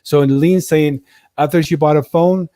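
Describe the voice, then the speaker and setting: A man's voice: on the high side, fairly even intonation, unhurried, warm tone, no room sound, clean studio sound. A man hosting a casual live interview, restating and recapping what he is told. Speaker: interview host.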